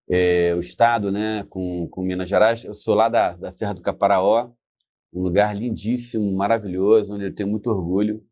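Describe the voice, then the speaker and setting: A middle-aged Brazilian man telling stories casually as a guest, haltingly, repeating himself and often checking for agreement. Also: fairly low pitch, a little mellow, no room sound. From Brazil, born in Espírito Santo. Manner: halting, repetitive, often seeks agreement with tag questions. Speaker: interview guest, business founder. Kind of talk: casual storytelling in conversation.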